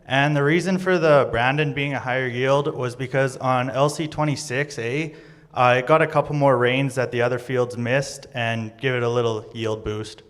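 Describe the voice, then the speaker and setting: A man's voice: mid-range voice, fairly even intonation, normal speed, fairly bright tone. Young guy from saskatchewan reading crop numbers off slides, long hall echo. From Saskatchewan, Canada. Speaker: agriculture student presenter. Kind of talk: class presentation from slides. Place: college auditorium stage.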